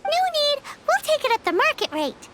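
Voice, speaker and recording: A woman voicing a small, cheeky female fairy companion in a video game, with a squeaky childlike voice and a perky, emphatic manner. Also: very animated, moderate pace, over music. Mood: confident. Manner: perky, emphatic, talks like a cartoon sidekick. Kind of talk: English video game voice acting.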